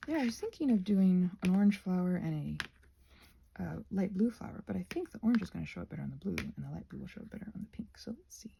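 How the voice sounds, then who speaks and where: A woman chatting casually in a rambling ASMR style, breathy and often slipping toward a whisper, with playful, self-mocking asides. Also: lowish voice, expressive, fast, mellow, slight background noise. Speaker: ASMR creator painting a craft while rambling.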